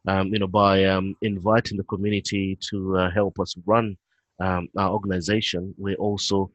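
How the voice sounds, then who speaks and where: A British man on a video call, explaining his work in a relaxed way with frequent fillers. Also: lowish voice, no echo, rough recording. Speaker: guest, head of operations.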